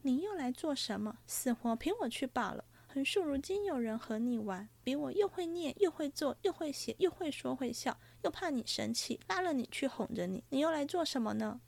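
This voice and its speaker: A woman voicing a sharp-tongued, tearful young noblewoman in a storybook reading, with a plaintive, reproachful manner. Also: high voice, lively intonation, normal speed, gravelly, hardly any pauses, pitched above the performer's own voice, exaggerated voice.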